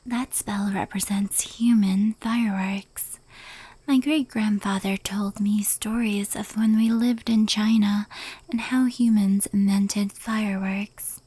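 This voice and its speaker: Slow, whispery woman as a proud fox spirit. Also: medium pitch, steady tone, no room sound. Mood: proud, explanatory. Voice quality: soft, breathy, whispered. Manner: unhurried, hushed, intimate. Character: proud, powerful female fox yokai, fond confidante of a human. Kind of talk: whispery ASMR roleplay.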